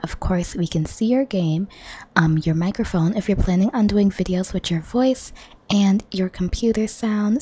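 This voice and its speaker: A woman with a hoarse voice, losing her voice, chatting in a friendly way to viewers as she walks through a computer tutorial on a desktop microphone at home. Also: fairly low pitch, warm tone, slight background noise. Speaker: gaming channel host. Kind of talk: casual screen-recording tutorial. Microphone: desktop condenser microphone.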